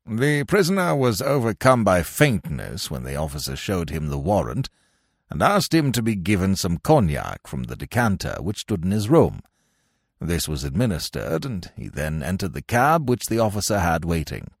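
A man voicing a stern, fiery old British colonel and prison governor in an audiobook, brusque and husky. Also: low voice, wildly swooping pitch, normal speed, no echo, studio quality, full character voice. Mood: official. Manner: brusque, clipped military authority.

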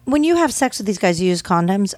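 A woman with a middle-pitched voice hosts a relaxed podcast conversation. She keeps asking curious, probing questions to draw out her guest's stories. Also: quick pace, no room sound. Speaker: podcast host. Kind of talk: casual interview chat.

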